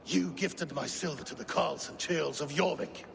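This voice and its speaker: A gravelly scheming Viking jarl. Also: male voice, fairly high pitch, moderate pace, slight background noise. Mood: regal. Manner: grand, theatrical, brooding. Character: proud scheming Viking jarl, middle-aged man.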